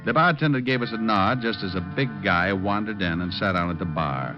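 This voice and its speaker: A low-voiced, cocky private eye in 1940s radio noir style. He is wry and sardonic with a clipped delivery. The recording is dull and old, with music behind it. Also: expressive, brisk. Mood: wry narration. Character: wisecracking, cocky hard-boiled male private detective. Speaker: narrator and lead actor.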